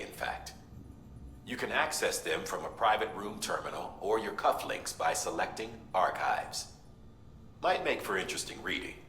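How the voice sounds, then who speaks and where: An actor playing a considerate mission briefer in a video game, explaining things in a conversational way. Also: male voice, deep voice, sharp tone, echoey room, slight background noise, full character voice. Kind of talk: scripted game dialogue.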